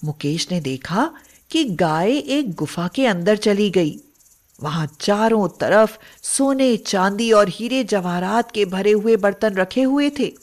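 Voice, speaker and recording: Slow-paced woman narrating a story. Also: mid-range voice, expressive, a little mellow. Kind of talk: scripted narration of Hindi moral bedtime stories.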